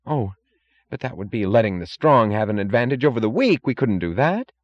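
Man putting on a flustered, high-pitched bureaucrat. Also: very animated, brisk, no echo, lo-fi sound. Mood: flustered, protesting. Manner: urgent, gasping, flustered. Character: flustered, heedless male government official, anxious and evasive.

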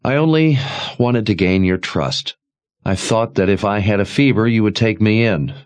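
A man reading a devious, smooth-talking soldier. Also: fairly low pitch, normal speed, voice pushed up, lightly acted. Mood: defensive. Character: devious young male soldier, smooth liar.

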